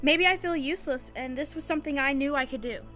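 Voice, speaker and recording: Woman acting high-voiced, self-doubting young fighter. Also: quick pace, fairly bright tone, faint music behind. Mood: wounded, confessional. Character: self-doubting young woman, battle-worn failed leader. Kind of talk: audio drama acting.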